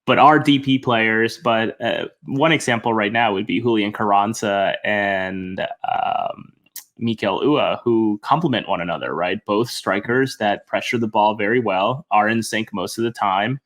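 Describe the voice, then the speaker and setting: A man who covers a soccer team, guesting on a casual podcast, relaxed and thinking aloud as he corrects himself. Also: fairly low pitch, a little slow.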